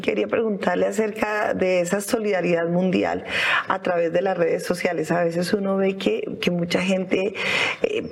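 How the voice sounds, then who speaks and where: A co-host presenting a special broadcast and posing questions to a guest, with a voice at a middling pitch. The questions come measured, with occasional hesitations. Faint noise sits behind the voice. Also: vocal fry. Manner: measured questions with some fillers. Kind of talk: interview hosting.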